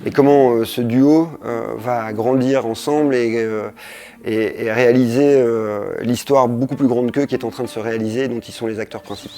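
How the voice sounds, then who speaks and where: A man speaking as a game developer in an explanatory interview, measured, pausing between phrases. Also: medium pitch, fairly even intonation, warm tone.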